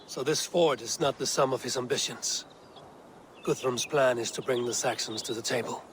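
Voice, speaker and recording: An actor playing a battle-hardened Viking warrior leader in a video game, speaking in a measured, sombre and plain way. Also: male voice, mid-range voice, a little hiss or hum, natural voice. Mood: wary. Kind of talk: video game dialogue acting.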